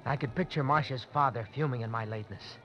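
A man's voice: medium pitch, fast, highs cut off, soft background music. A man narrating in the first person for a 1940s radio drama, tense and nervous. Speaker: narrator and lead character.

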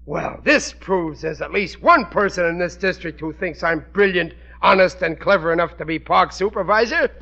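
A soft-hearted, blustery American husband in a 1940s radio comedy. His voice sits high for a man and cuts through brightly. He talks plainly and folksily, and the recording is dull and muffled. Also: normal speed, creaky, hardly any pauses, heavily acted. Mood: baffled, touched. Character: bumbling, soft-hearted working-class husband and father. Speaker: comedy lead actor.

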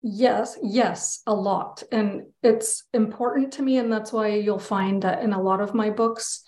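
A woman's voice: medium pitch, fairly even intonation, a little slow, a little mellow. A woman from Canada with a Canadian accent, talking casually and candidly as an interview guest. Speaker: interview guest, children's book illustrator. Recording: echoey, roomy sound.

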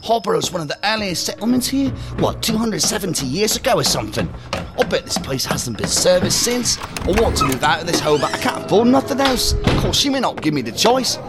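A broke, quarrelsome British man, grumbles and curses in street slang. His pitch swings widely, and music plays behind him in this audio drama. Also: very animated, fairly quick. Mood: bitter griping. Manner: slangy, griping. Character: broke, foul-mouthed, quarrelsome working-class man, down on his luck.

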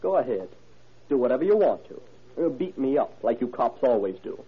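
Hot-tempered young American man, old radio drama, fast speech, thin muffled recording. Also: mid-range voice, very animated, breathy edge. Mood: defiant, indignant.

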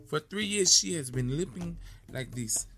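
A man's voice: a little high, expressive, unhurried, fairly bright tone, soft background music, voice pushed up. A man doing a worried old king. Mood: pleading. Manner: doubtful, pleading.

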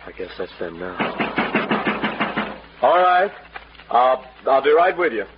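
Young western cowboy, folksy, medium pitch, muffled old radio drama. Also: male voice, very animated, takes it slowly. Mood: tense. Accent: American Western. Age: young adult. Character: plainspoken, reluctant young western duelist. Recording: muffled old radio recording, faint noise.

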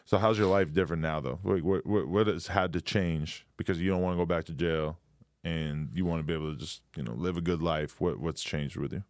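An American man with a very low voice hosts a relaxed conversational interview. He speaks informally and agreeably, cursing now and then. The recording is dry and close. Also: brisk, no echo. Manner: informal, agreeable, profane at times. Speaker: interviewer, host.

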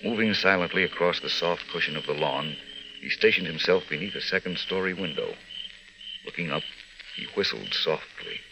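A man delivering scripted narration as a vintage radio announcer, measured, dramatic and declamatory. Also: sits low, lively intonation, gravelly, very noisy. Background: music.

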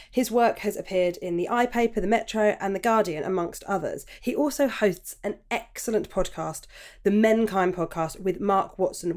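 A woman's voice: medium pitch, a little mellow. A woman hosting a podcast, chatting with gushing enthusiasm over a video call. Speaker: podcast host. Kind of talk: casual interview chat.

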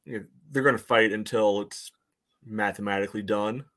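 A man's voice: lowish voice, steady tone, moderate pace, a bit bright. A man co-hosting a sports fan podcast, chatting casually in a wry, frank, hesitant way. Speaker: co-host of a soccer fan podcast.

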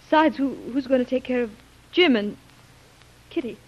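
A woman's voice: high voice, moderate pace. An actress playing a strong-willed, overwrought woman nursing patients, stammering and insistent in 1930s radio drama style. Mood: agitated, defensive. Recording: muffled noisy vintage radio recording.

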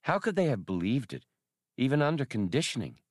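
Inquiring young heroine, played by a male narrator. Doubtful, expressive, close dry audiobook recording. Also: medium pitch, brisk, no echo, voice pushed up. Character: earnest, inquiring young woman on a space adventure.

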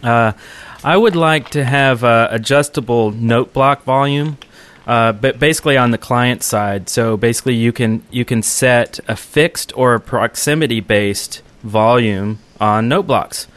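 A man's voice: mid-range voice, a little slow, a bit bright, clear, resonant tone. A man hosting a gaming podcast in casual conversation, explaining his ideas in detail. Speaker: podcast host.

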